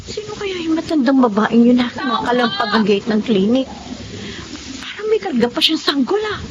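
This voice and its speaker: A polite clinic receptionist, dull audio. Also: female voice, a little high, very animated, moderate pace. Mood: concerned. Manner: courteous, matter-of-fact. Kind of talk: Filipino radio drama acting. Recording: dull lo-fi audio, faint noise.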